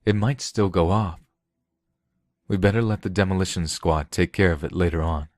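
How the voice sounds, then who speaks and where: A man's voice: sits low, fairly even intonation, moderate pace, no room sound, clean studio sound, lightly acted. A man voicing a weary, accused man in a story, earnest and plain-spoken. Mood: alarmed. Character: weary accused man insisting on his own identity.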